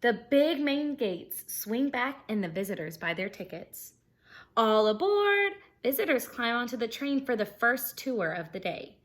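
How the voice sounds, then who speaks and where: A woman's voice: fairly high pitch, big pitch swings, a little slow. A woman teacher reading a children's picture book aloud expressively, explaining things simply and putting questions to her young listeners.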